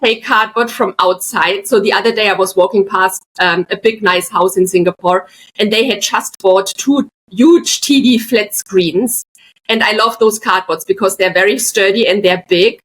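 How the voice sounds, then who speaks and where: A woman's voice: mid-range voice, normal speed, fairly bright tone, a little hiss or hum, rough recording. Middle-aged woman, podcast guest, chatty anecdote teller. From Germany, Bavaria. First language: German. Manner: chatty, tells anecdotes with frequent asides. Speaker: guest, artist. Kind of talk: casual podcast chat, storytelling.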